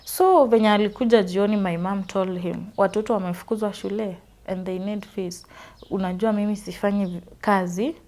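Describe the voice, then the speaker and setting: A woman's voice: medium pitch, fairly even intonation, a little fast, darkish tone. A Kenyan woman in her twenties from Western Kenya, earnestly telling her life story in an interview and switching freely between English and Swahili. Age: 20s. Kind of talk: personal testimony interview.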